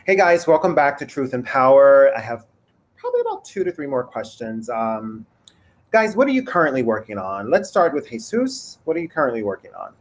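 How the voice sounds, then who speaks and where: A man's voice: mid-range voice, huge pitch swings, a little fast. A man hosting an online panel, asking his guests questions in short, friendly prompts. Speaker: host.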